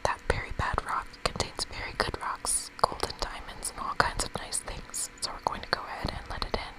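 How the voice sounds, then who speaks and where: A woman's voice: normal speed, in a whisper, close to an everyday voice, poor audio. A woman voicing an old, greedy were-lemur bureaucrat in a game, glib and self-satisfied. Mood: gleeful.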